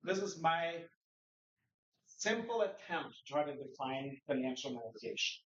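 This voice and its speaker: Conference speaker: man, slightly high voice, posing rhetorical questions, picked up by a distant mic in a roomy hall. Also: lively intonation, measured pace, a bit bright, a little hiss or hum. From Norway. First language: Norwegian. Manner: conversational lecturing with rhetorical questions. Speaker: conference presenter. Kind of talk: conference presentation. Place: conference room. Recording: echoey room, distant mic, dull sound.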